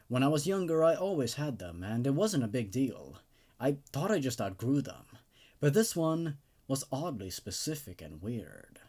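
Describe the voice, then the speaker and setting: A man's voice: mid-range voice, a little mellow. A man hosting a horror story show, moving between casual commentary and reading stories aloud. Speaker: host and reader of an online horror story show.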